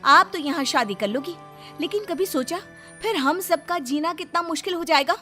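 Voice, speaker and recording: A woman's voice: very high-pitched, lively intonation, moderate pace, sharp tone, soft background music, voice pushed up, heavily acted. A woman playing an indignant daughter-in-law in a melodramatic family story, scolding and reproachful, fearful of shame.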